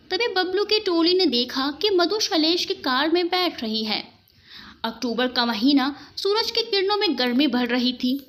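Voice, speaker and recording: A woman's voice: high-pitched, fairly bright tone. A woman narrating a scripted story as a storyteller.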